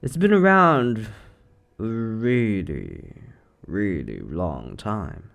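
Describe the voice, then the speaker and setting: A man plays an eccentric occult shop owner in an audio roleplay, speaking straight to the listener. His voice sits a little low but swings widely up and down. He takes his time, stretching words playfully, breezy and offhand with something sinister underneath. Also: big pitch swings, vocal fry, fairly bright tone, full character voice. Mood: breezy, smug. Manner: chatty, offhand, playfully theatrical, drawn-out words. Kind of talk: scripted roleplay monologue to the listener.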